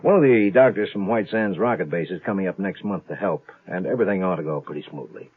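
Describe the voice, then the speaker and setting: A man's voice: sits low, fast talker, breathy edge. An American man playing a worried, brusque but caring military doctor on a space station, in 1950s radio drama style. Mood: relieved, chatty. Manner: exasperated, authoritative. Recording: muffled lo-fi 1950s radio recording.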